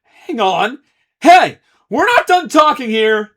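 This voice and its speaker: A man voicing a brash, hotheaded teenage boy student who yells in a very high voice. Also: very animated, slow pace, full character voice. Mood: angry, shouting. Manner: hotheaded, yelling.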